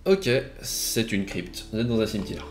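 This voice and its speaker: Easygoing low-voiced game master man. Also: moderate pace, echoey room. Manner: relaxed, easygoing, fills with hein and euh. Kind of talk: narrating a tabletop game.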